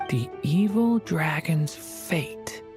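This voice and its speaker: A woman's voice: sits low, expressive, drawn-out words, music in the background, heavily acted. A woman voicing an earnest, hesitant young dragon boy in a video game. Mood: pensive, worried. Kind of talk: video game character acting.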